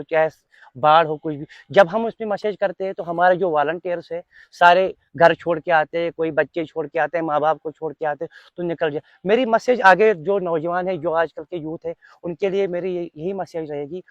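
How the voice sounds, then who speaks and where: A man's voice: fairly high pitch, normal speed, dry recording, highs cut off. A young man with a Kashmiri accent giving unscripted interview answers, earnest and emphatic, often repeating his phrases. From Kashmir, India. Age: young adult. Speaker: volunteer being interviewed.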